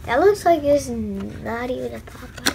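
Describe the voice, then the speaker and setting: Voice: medium pitch, lively intonation, a little slow, vocal fry, noisy background. A young child chatting and guessing along during a storybook reading.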